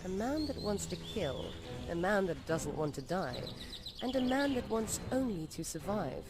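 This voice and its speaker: A woman playing an ancient vampire noblewoman in a video game, composed, formal and aloof in her deliberate phrasing. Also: medium pitch, sing-song. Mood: cool, amused. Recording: music behind.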